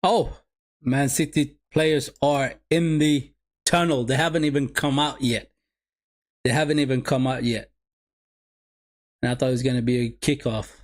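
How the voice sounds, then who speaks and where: A man's voice: medium pitch, fairly even intonation, moderate pace, pauses often. A man whose first language is Somali, running a live football watch-along and calling the game for his viewers, often repeating his phrases. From Sweden.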